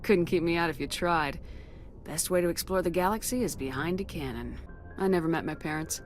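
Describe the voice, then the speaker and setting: A woman's voice: on the low side, a little fast, faint music behind, natural voice. A woman playing a stern, composed military starship commander in a video game, speaking with firm, clipped authority. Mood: somber. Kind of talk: video game dialogue acting.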